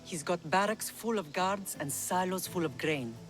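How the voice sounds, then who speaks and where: An actress playing the fierce, vengeful mother of the hero in a game cutscene, who argues forcefully for bloodshed. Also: lowish voice, expressive, normal speed, soft background music, natural voice. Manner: forceful, scheming.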